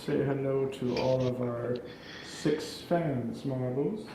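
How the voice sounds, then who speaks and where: A man's voice: medium pitch, fairly even intonation, slow. A man chatting casually as a panelist on a film review, joking dryly. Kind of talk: casual chat. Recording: roomy echo, faint background noise.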